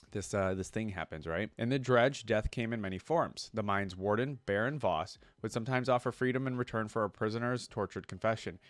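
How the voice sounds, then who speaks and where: A man's voice: lowish voice, dry recording. A man making a reaction video, switching between reading lore aloud and informal, musing commentary. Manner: informal, pausing to explain and muse.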